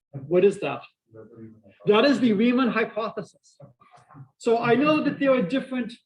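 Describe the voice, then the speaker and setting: A middle-aged male professor with a Boston accent lectures to a class in a fairly high voice. He talks energetically, drifts into asides and keeps asking his students questions. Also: low-quality recording. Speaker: math professor. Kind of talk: university lecture.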